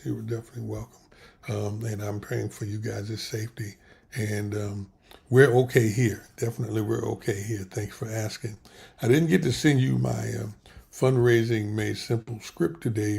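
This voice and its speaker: A pastor hosts a casual livestream from his computer setup. His voice sits low and sounds gravelly, and he is losing his voice. He wanders and restarts his sentences as he talks. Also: a little mellow. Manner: rambling, halting, many restarts. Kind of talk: casual livestream talk with prayer.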